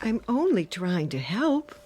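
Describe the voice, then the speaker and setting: A woman's voice: medium pitch, sing-song, unhurried, a little mellow. An actress playing an anxious, smothering older widowed mother in a radio drama, fretful, tearful and nagging, with self-pity. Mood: fussing concern.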